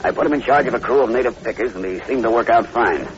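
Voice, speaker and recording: An actor playing a grim, uneasy plantation manager in a 1940s radio drama, speaking earnestly and with foreboding. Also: male voice, mid-range voice, fast talker, close to an everyday voice. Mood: grave, matter-of-fact. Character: grim, uneasy male plantation manager, long-time islander. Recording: muffled, very noisy old radio recording.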